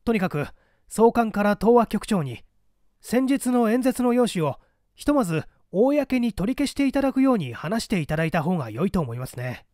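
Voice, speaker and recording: A man voicing a calm, shrewd police detective who reports methodically and politely in a dramatized audiobook. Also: high voice, normal speed, no room sound, studio quality, voice pushed up. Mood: urgent, earnest.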